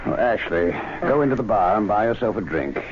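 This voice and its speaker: An actor playing an urbane, calm, wryly measured clubman in a radio drama. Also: lowish voice, lightly acted. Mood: calm, concerned. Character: urbane, unruffled clubman, mildly amused peacemaker. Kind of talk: old-time radio drama acting. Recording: dull hissy vintage radio recording.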